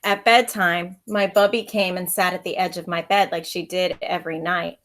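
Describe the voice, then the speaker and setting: A woman's voice: fairly low pitch, level tone, normal speed, fairly bright tone. A woman who is an elementary school teacher, reading a children's storybook aloud with expression.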